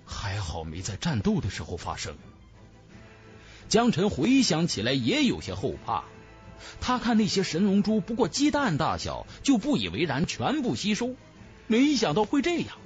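Guy reading a fantasy audiobook, really animated, highish voice, music under it. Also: normal speed. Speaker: audiobook narrator. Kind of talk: dramatic scripted narration of a fantasy novel.

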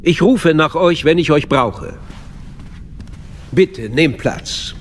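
An older galactic chancellor for a radio drama. He is measured and courtly, with an unctuous, fatherly manner that hides a schemer. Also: fairly high pitch, expressive, a little hiss or hum. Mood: courtly, calculating. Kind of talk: German radio drama acting.

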